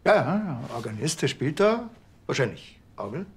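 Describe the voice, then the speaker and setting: An actor playing a dry, blunt, deadpan police officer in a TV crime comedy. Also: male voice, on the high side, normal speed.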